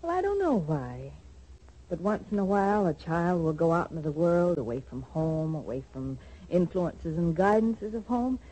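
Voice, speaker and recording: An actress playing a gentle, worried middle-aged mother in a 1940s radio soap, speaking hesitantly and tenderly. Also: fairly low pitch, sing-song, moderate pace, breathy edge, rough recording. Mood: earnest advising.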